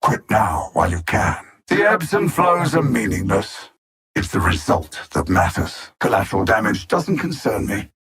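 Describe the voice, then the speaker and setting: A coldly calculating alien supervillain from a video game, haughty and mocking. The voice is husky and full of gravel, sliding widely up and down as he delivers formal, condescending threats. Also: lowish voice, sing-song, normal speed, fairly bright tone, exaggerated voice. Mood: cold disdain. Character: cold, arrogant alien machine-intellect villain, condescending and calculating. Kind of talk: scripted video game villain dialogue.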